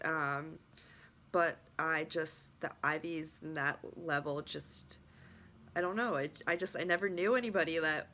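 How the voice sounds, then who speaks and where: A young woman with a low-pitched but bright, forward voice, still a little worn from a recent illness. She talks in a loose, rambling way, circling back and restarting her sentences as she tells her story to the camera. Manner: rambling, many restarts and fillers. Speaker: lacrosse goalie trainer. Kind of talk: casual vlog storytelling.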